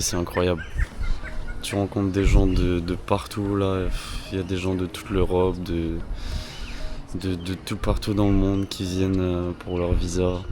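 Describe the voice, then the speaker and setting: A young man from France in a casual travel vlog, talking in a relaxed, rambling way with slang and restarts. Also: deep voice. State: slightly hoarse from a cold. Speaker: vlogger.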